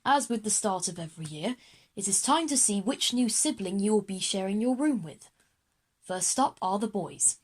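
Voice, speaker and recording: Woman as male headmaster, children's audio drama: formal, welcoming, announcing to pupils, slightly husky. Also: medium pitch, expressive, moderate pace.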